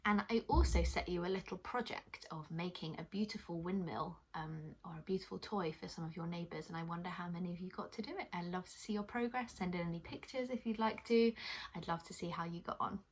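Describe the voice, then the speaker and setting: A woman's voice: lowish voice, brisk, slight background noise. A woman hosting a children's puppet show and telling stories, speaking encouragingly and directly to young listeners. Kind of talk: children's presenting and storytelling.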